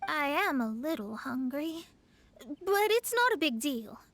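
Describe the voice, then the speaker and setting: High-voiced, haughty young astrologer. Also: female voice, very animated, a little slow, a bit bright, exaggerated voice. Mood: haughty, dismissive. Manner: haughty, formal, precise. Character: proud young female astrologer, haughty, secretly hungry. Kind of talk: anime game voice acting. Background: music.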